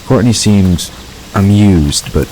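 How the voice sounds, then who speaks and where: A man's voice: fairly low pitch, animated, takes it slowly, warm tone, slight background noise, poor audio. A man reading scripted story narration as the narrator of an audio drama.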